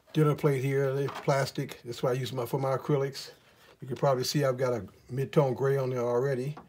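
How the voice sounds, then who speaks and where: A middle-aged man with a husky, slightly hoarse voice, teaching oil painting in a relaxed, rambling way with many fillers in his studio. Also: medium pitch. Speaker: art teacher. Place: studio, in front of an easel.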